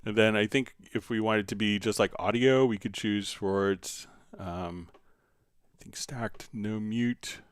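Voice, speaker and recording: Low, smooth-voiced man. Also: steady tone, measured pace. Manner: informal, thinking aloud while demonstrating. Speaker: livestream host, software instructor. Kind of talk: casual live software tutorial.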